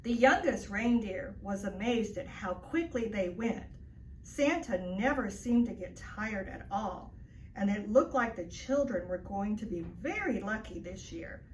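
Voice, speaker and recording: A woman's voice: mid-range voice, lively intonation, measured pace. A woman reading a children's story aloud in a narrating style. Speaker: storybook reader. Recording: echoey, distant mic, faint noise.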